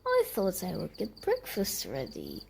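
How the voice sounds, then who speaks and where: A woman plays a quick-witted storybook hero, cheerful and teasing. The delivery is lively and unhurried, with faint noise behind it. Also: on the low side, gravelly, full character voice. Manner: breezy, teasing. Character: clever, cheerful young male storybook hero. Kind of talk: children's storybook character reading.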